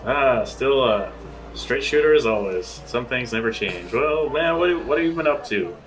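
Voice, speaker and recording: A man's voice: mid-range voice, animated, normal speed, rough recording. A man playing in a tabletop roleplaying show, improvising casual, teasing banter with music underneath.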